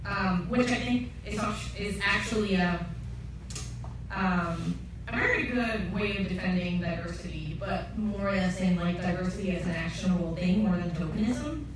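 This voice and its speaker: A young woman from Costa Rica talks candidly on a panel, her conversational answer full of fillers. She is picked up from far back in a large, echoing room. A steady hum of noise sits under her voice. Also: lowish voice, moderate pace. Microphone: table mic, recorded from the audience. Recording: echoey hall from far off, noisy.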